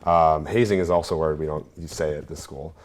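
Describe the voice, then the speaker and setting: A man's voice: very deep voice, fairly even intonation, fairly quick, a loud crowd. A young adult man from New York State, a guest on a student talk show, rambling and hesitant, filling gaps with ums and restarts. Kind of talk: casual chat.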